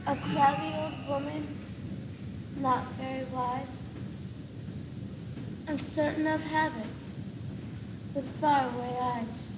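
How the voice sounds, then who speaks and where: A frail old woman played by a young female student recites a poem very slowly, in halting, reproachful phrases. The voice is high. It sounds distant in an echoey hall, on a noisy school video. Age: young teenager. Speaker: student actor in a school one-act play.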